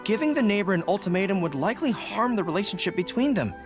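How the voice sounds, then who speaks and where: Earnest, high-voiced young general. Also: male voice, sing-song. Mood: earnest, thoughtful. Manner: earnest, deliberate, thoughtful. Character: earnest young canine general, male, dutiful, soft-hearted. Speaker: army general answering readers' letters. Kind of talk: video game voice acting. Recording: background music under the voice.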